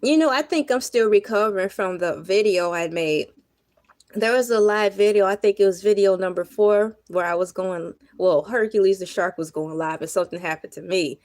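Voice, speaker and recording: A woman hosting a casual livestream, talking earnestly to her viewers with a slightly hoarse voice. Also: mid-range voice, normal speed, darkish tone, no echo. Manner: chatty, earnest, reflective, laughs often. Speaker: livestream host.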